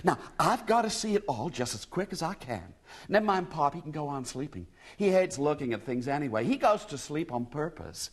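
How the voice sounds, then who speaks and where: High, gushing young American woman, put on by a male narrator; tearful, lively, storybook reading. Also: a little fast.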